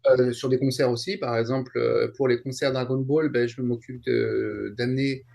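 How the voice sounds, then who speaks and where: A man giving a casual interview as a guest, explaining his work in a halting, conversational way. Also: mid-range voice, little pitch movement, creaky, a little mellow. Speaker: interview guest, translator and event coordinator.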